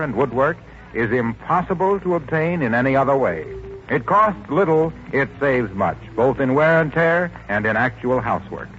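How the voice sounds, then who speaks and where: A man announcing in polished, persuasive style, reading scripted advertising for 1940s radio. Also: mid-range voice. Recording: dull, faintly noisy 1940s radio recording.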